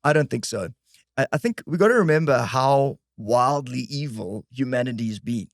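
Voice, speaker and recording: An animated South African man, a comedian guest on a podcast, making his case in quick, earnest bursts. His voice sits at a middle pitch, and the close studio mic gives it a dry, tight sound with almost no room in it. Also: creaky, no room sound. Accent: South African English. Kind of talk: casual podcast chat.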